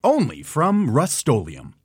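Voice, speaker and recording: A man reading a scripted commercial voice-over as an announcer, with a husky voice. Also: on the high side, wildly swooping pitch, a little slow, no echo, studio quality. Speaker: advert announcer.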